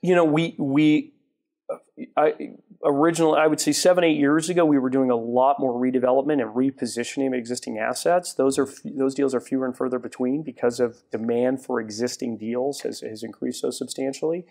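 A man with a gravelly, creaky edge to his mid-pitched voice talks as a studio guest. He starts sentences over, then settles into steady explanation. Recorded on a lapel mic on a TV studio set. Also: a little fast. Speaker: guest, retail property developer. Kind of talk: studio interview.

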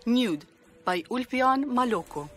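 A woman's voice: a little high, lively intonation, unhurried. Woman announcing a drama trailer over music. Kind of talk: scripted promotional narration.

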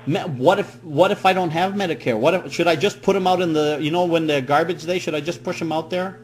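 A man's voice: on the high side, fairly quick. A middle-aged man making an improvised comic prank call, rambling with feigned naive worry. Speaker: prank caller. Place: small room at home, indoors. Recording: noisy webcam audio with hum.

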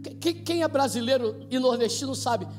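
Animated Brazilian pastor in a reverberant hall. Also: male voice, very high-pitched, normal speed. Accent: Brazilian Portuguese. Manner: animated storytelling, acting out voices and shouting. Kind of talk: sermon with live interpretation. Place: church stage with projected screen. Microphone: handheld mic. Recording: long church hall reverb, faint music.

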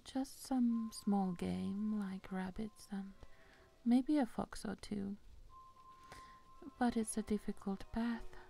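Woman acting as creepy haunted-house hostess, slow, halting whisper, very mellow dark timbre, falsely solicitous, horror roleplay. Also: mid-range voice, fairly even intonation, gravelly. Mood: feigned concern. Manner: hushed, halting, eerily solicitous. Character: Rose, falsely caring young hostess of a haunted manor.

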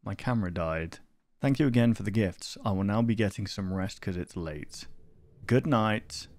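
A man's voice: on the low side, normal speed, a little mellow, dry recording. A man in his twenties giving laid-back, casual reaction commentary and reading on-screen text aloud. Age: 20s. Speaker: reaction video host. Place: small room at home, indoors. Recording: clear background noise.

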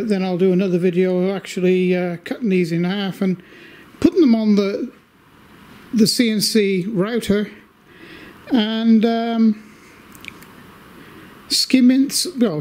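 An older man giving a casual update from his home workshop, talking slowly with lots of ums and restarts while holding the camera. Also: high-pitched, fairly even intonation, a little mellow. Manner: slow, rambling, many fillers. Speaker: workshop video maker.

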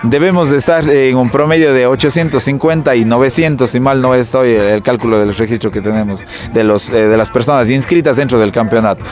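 A man with an Ecuadorian accent giving a spontaneous, halting interview answer as an event official. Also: mid-range voice, fairly even intonation. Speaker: tournament official being interviewed.

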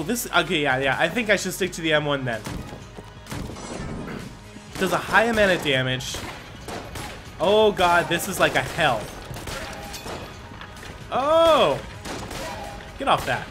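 High-pitched excitable male gamer. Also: slow pace, long pauses. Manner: excitable, talks to himself. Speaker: gaming YouTuber. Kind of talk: casual video game playthrough commentary. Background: game music and gunfire.

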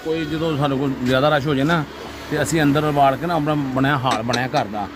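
A man's voice: a little high, steady tone, a little fast, over a noisy crowd. A middle-aged man, a farm owner, chatting in a folksy, rambling, earnest way in an outdoor farmyard. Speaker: farm owner, father of host. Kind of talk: casual farm chat.

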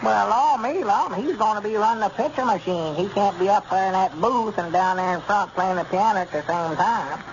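A homespun old storekeeper in a vintage radio comedy. His voice is high, querulous and excitable, with a folksy rural Arkansas accent. The recording is muffled, with faint noise. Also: moderate pace, few pauses. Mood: indignant. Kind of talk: 1930s-40s radio comedy acting.

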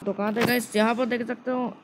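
A man's voice: extremely high pitch, little pitch movement, a little fast. A man with an Indian accent giving casual live gameplay commentary as a video host, often repeating phrases and mixing in English words. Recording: noisy amateur screen recording with ambient sound.

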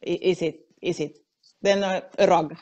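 Finnish-accented woman interviewer, 40s, lowish voice, halting second-language English. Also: steady tone, a little mellow. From Finland. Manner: halting, restarts words, searches for English. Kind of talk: live video interview. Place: indoors at home, video call. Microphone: earphone mic on a video call.

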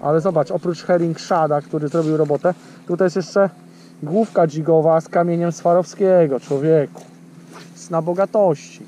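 A man in his thirties talks in a fairly high voice, recorded on an action camera in a small boat out on a lake. He chats easily with a friend and turns to the viewers, with plenty of fillers. Faint outdoor noise runs underneath. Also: steady tone, normal speed, warm tone. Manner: chatty, addresses viewers directly, many fillers. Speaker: fishing channel host. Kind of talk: casual outdoor fishing vlog chat.

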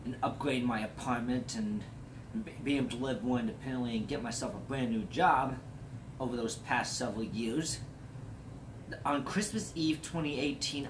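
An American man in his late twenties presenting a personal project vlog in an earnest way. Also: medium pitch. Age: late 20s. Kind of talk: vlog, part scripted narration, part casual presenting to camera.

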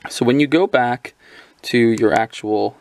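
A man's voice: mid-range voice, unhurried, gravelly, darkish tone. A man giving a casual, unscripted gadget overview as a reviewer, explaining things informally. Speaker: product reviewer.

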